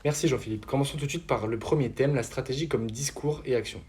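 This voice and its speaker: Brisk young man hosting a quiz. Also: medium pitch, darkish tone. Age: young adult. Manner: reads questions and explanations in a brisk announcer tone. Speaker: quiz show host. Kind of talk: scripted quiz-show hosting.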